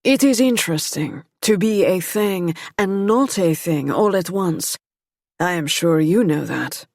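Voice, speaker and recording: A woman's voice: fairly low pitch, measured pace, darkish tone, dry recording, studio quality. A woman voicing a cool, ironic French-accented nobleman in an audiobook. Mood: cool, needling. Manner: cool, mocking courtesy.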